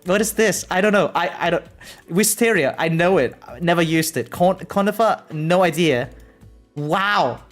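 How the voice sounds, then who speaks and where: A game streamer with a mid-pitched voice talks casually on a live stream, thinking aloud as they go. The delivery rambles and often tags thoughts with a quick check-in question. Music plays behind the voice. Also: animated. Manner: rambling, repeatedly tags sentences with "right?". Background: background music.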